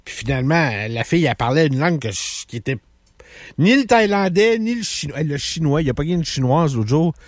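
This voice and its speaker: A man with a Québécois accent, hosting a radio show and telling stories in animated bursts. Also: fairly high pitch, dry recording, clean studio sound. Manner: animated storyteller, quick bursts. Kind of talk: casual chat.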